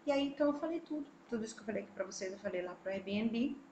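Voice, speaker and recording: Animated Brazilian woman in echoey room. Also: medium pitch, rapid-fire, a little hiss or hum. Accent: Brazilian Portuguese. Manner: animated, expressive, talks straight to viewers. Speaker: travel vlogger. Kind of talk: casual vlog storytelling. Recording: echoey room, faint ambience.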